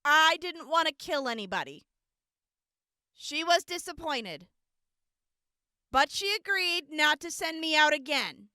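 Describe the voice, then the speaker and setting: Woman acting a gentle earnest wasteland medic girl on the stand, high bright voice, big pauses, radio drama. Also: very animated, no echo, clean studio sound, full character voice. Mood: earnest, confessing. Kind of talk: audio drama courtroom testimony.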